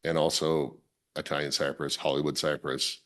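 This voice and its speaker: A man with a raspy, worn voice, presenting his project at a public planning meeting and restarting his sentences often. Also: a bass voice, flat delivery, moderate pace, a bit bright. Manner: self-correcting, restarts often. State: raspy voice from a weekend tournament. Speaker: applicant presenting a site plan.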